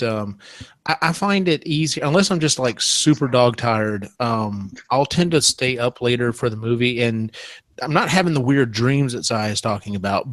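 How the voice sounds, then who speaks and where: A man's voice: medium pitch, fairly bright tone, no echo. Man hosting a casual show, close dry sound. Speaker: podcast host. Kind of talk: casual panel discussion.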